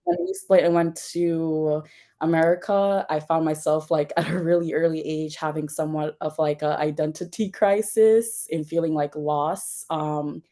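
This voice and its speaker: A woman's voice: deep voice, fairly even intonation, normal speed, dark tone. A young woman from Jamaica, a nonprofit founder, telling her story in a casual interview with many fillers and restarts.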